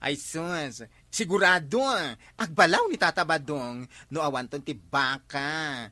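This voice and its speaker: A man with an Ilocano accent acting an excitable, gossipy villager in a comedy drama, full of exclamations. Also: on the high side, measured pace, dry recording. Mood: alarmed.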